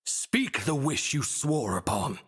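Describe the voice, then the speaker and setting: A man playing a lofty, amused godlike being in a video game cutscene, speaking in grand, deliberate, archaic phrases. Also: medium pitch, big pitch swings, breathy edge, heavily acted. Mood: solemn, imperious. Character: lofty, ancient male godlike being granting wishes, amused and formal.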